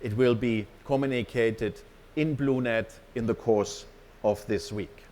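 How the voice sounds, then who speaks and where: A man's voice: mid-range voice, unhurried, darkish tone. A man giving a company presentation to staff as an executive, partly off the cuff, emphatic and often checking in with his listeners.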